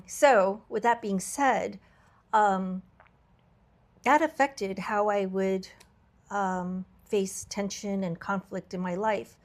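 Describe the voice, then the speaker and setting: A woman hosting a podcast, her voice a little raspy from travel, talking in an earnest, reflective way with frequent fillers and restarts. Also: mid-range voice, slow pace. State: slightly raspy voice from travel fatigue. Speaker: podcast host. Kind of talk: candid podcast conversation.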